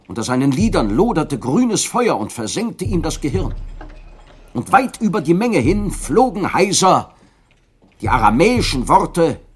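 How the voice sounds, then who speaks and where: A man's voice: a little high, very animated, unhurried, breathy edge. A man narrating a radio drama in a dramatic, vivid storytelling manner.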